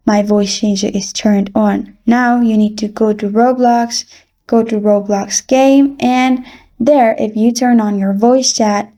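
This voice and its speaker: A woman narrating a phone app tutorial in a polite, even, scripted voice-over, walking through the steps one by one. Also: fairly high pitch. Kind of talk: scripted step-by-step instructions. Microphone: voice-over over screen recording.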